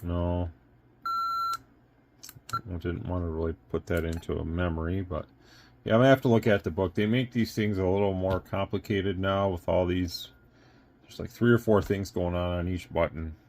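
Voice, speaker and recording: Male product reviewer, deep and mellow, unscripted and casual, rambles while thinking aloud. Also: steady tone, normal speed. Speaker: product reviewer and radio seller.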